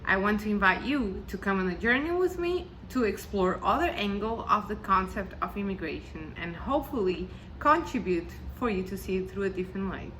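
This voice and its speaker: A woman's voice: medium pitch, lively intonation, a little fast, few pauses, a bit bright, slight background noise, rough recording. A woman raised in Argentina and Brazil, with a South American accent and Spanish as her first language, giving a prepared, reflective talk as a guest speaker. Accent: South American Spanish and Portuguese. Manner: earnest, carefully read, reflective.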